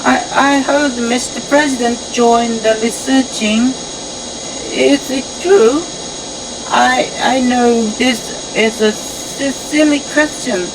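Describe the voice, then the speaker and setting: A woman playing a polite, timid newcomer in an amateur audio drama, speaking hesitant, halting English. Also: fairly high pitch, steady tone, background noise. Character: polite, timid newcomer visiting a research facility. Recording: music under the voice.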